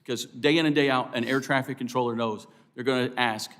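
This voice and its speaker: An American man answering as an expert witness at a hearing, in a formal, deliberate and earnest way. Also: medium pitch, fairly even intonation, a bit bright. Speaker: expert witness for air traffic controllers. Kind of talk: formal testimony.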